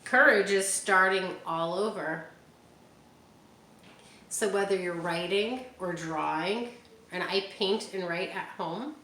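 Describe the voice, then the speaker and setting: A woman reading a picture book aloud to children, stopping often for gentle, chatty asides. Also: on the low side. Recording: echoey room with faint hiss.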